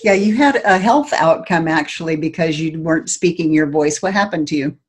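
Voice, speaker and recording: A woman's voice: low-pitched, fairly quick, breathy edge. A woman hosting an interview, asking short questions and prompting her guest attentively.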